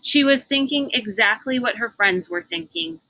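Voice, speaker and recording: A woman reading a children's novel aloud as narrator, with expressive, deliberate, dramatic phrasing. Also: sits high, vocal fry, rough recording.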